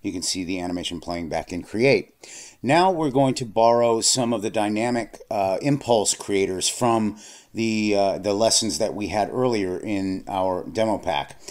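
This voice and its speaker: A man narrating a screen-recorded software tutorial, explaining steps plainly with frequent fillers and restarts. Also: on the low side, moderate pace. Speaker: software instructor.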